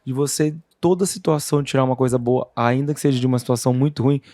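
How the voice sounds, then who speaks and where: A man's voice: mid-range voice, fairly even intonation, quick pace, warm tone, no room sound, clean studio sound. A man co-hosting a podcast, reflecting on life in a casual chat.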